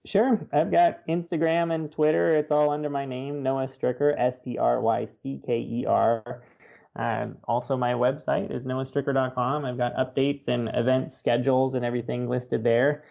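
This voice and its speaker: Creaky-voiced man, slightly muffled recording. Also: mid-range voice, steady tone, moderate pace. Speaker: interview guest, nature writer. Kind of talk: casual interview conversation.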